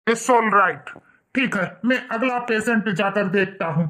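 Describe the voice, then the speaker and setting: A man's voice: high voice, takes it slowly, pushed and bright, heavily acted. Formal male doctor for a cartoon, grave and cautioning, voiced by a man. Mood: grave, reassuring. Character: male hospital doctor, grave, reassuring, lecturing. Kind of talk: cartoon dialogue.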